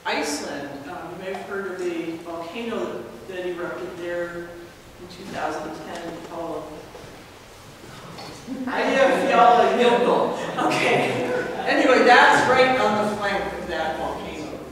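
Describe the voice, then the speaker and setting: A woman presenting her research at a conference, in a relaxed, chatty way with frequent pauses. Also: fairly low pitch. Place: large lecture hall. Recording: echoey hall, mic far off, noisy.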